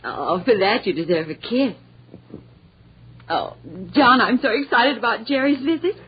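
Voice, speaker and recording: High, breathy, gushing radio wife. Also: female voice, lively intonation, unhurried, heavily acted. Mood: excited, affectionate. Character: affectionate, excitable young wife and sister. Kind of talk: 1940s radio acting. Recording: muffled old 1940s radio recording.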